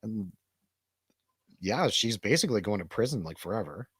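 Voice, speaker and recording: A man's voice: on the low side, sing-song, normal speed, breathy edge, no room sound, studio quality. A man hosting a casual livestream chat, laid-back, slangy and rambling. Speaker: host of a livestream show.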